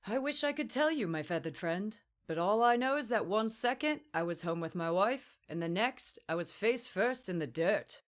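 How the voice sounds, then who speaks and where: A woman's voice: medium pitch, lively intonation, normal speed, hardly any pauses, lightly acted. A woman voicing a small, glib, talkative adult man with a slight accent in a storybook reading, breezy and wry. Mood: rueful. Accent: slight unplaced accent.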